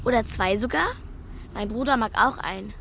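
A caring, chatty schoolgirl in a children's radio drama. Her voice is fairly high, with faint outdoor noise and insects around it. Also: moderate pace, gravelly, a bit bright. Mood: eager. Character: bright, caring young schoolgirl, eager and chatty. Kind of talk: German children's radio play acting.

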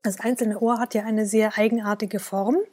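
A woman giving explanatory expert commentary in a measured, didactic and precise manner. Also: mid-range voice, steady tone, darkish tone. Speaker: musicology expert.

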